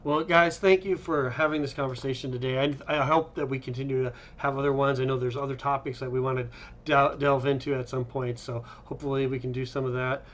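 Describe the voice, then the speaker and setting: A man hosting a casual chat in an informal, halting way. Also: mid-range voice, level tone, fairly bright tone. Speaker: interview host. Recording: slightly dull, lo-fi sound.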